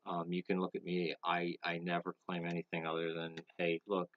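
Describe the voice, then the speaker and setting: A man giving a casual opinion monologue to camera, speaking haltingly with many pauses while smoking. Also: sits low, monotone, muffled recording. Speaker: vlogger.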